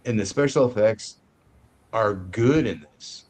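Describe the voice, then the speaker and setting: A man giving a casual movie review as a show host, haltingly searching for his words. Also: on the low side, darkish tone, breathy edge.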